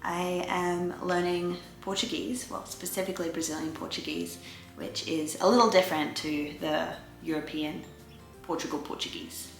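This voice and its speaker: A woman's voice: on the low side, animated, moderate pace. A woman, chatting casually to viewers as a vlogger giving an update. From Australia. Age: 20s. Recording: roomy echo, faint music behind.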